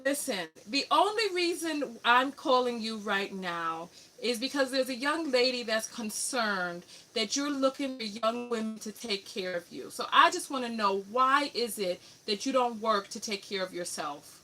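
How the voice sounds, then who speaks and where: A woman's voice: on the high side, animated, moderate pace, few pauses, bright, forceful tone, low-quality recording. A woman from New York City with a New York accent, hosting a live coaching call and pressing a caller with firm questions. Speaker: radio show host and relationship coach.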